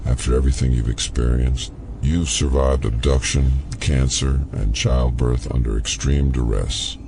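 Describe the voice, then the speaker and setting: A man's voice: rumbling low, gravelly, background noise. An actor voicing an ancient alien consciousness in a man's body, ominous, knowing, solemn and formal, in an audio drama. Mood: ominous, probing.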